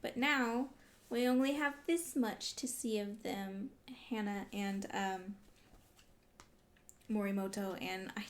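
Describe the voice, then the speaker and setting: A woman doing a casual read-along reaction as a vlogger, talking in an exclamatory, emotionally invested way. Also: on the high side. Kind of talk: casual reaction commentary while reading.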